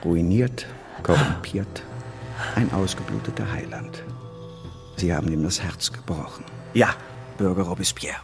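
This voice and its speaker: Lowish, subdued deferential informant. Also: male voice, a little slow, vocal fry, over music. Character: deferential male informant with bitter contempt. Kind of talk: German radio drama acting.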